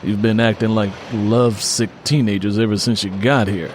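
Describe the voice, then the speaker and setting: A man's voice: on the low side, fairly even intonation, normal speed, darkish tone, slight background noise, close to an everyday voice. A man voicing an irritated, blunt, sarcastic husband in an audiobook. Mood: sarcastic, dismissive. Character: irritated, gruff husband and brother, adult man.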